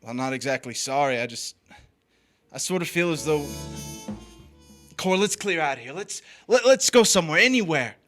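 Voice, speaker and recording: A man plays a haunted drifter in a noir stage drama. His voice sits fairly high and has a forceful, bright edge. He confesses uneasily and haltingly, with music behind him. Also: lively intonation. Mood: uneasy, hesitant. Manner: hesitant, halting in dialogue. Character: uneasy guilt-ridden drifter, narrating his crime confessionally.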